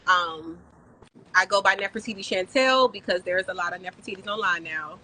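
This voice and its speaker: A woman in her thirties, from the Bahamas and raised in South Florida, telling her story as a podcast guest in a relaxed, chatty way with many "um"s and "like"s. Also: mid-range voice, brisk, rough recording. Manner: warm, chatty storytelling with frequent "um" and "like". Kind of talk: casual interview chat.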